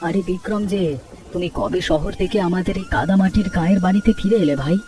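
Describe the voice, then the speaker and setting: Caring, anxious cartoon rickshaw puller male performer, mellow tone, slightly distant over music. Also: high voice, fast talker, a little hiss or hum, natural voice. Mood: worried, caring. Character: poor, caring, worried village rickshaw-puller husband. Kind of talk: Bengali cartoon story dialogue.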